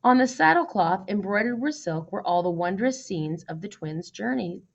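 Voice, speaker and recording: A woman narrates a children's storybook, reading aloud. Her pitch sits in the middle range for a woman. The recording is somewhat dull and lo-fi. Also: expressive, moderate pace, vocal fry. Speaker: storybook reader.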